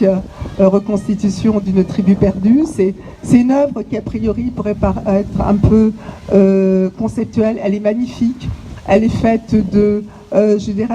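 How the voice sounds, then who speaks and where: A woman's voice: mid-range voice, dark tone. Woman curator from french guiana talking to a crowd outside, lots of uh and restarts, noisy background. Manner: halting, many hesitations and restarts. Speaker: exhibition curator. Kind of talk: inaugural speech to visitors. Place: outdoors on an island site.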